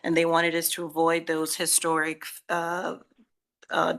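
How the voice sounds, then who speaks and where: Bright, lowish woman explaining a proposal. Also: monotone, unhurried. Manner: explanatory, laughs often. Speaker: applicant. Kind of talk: presenting to a commission.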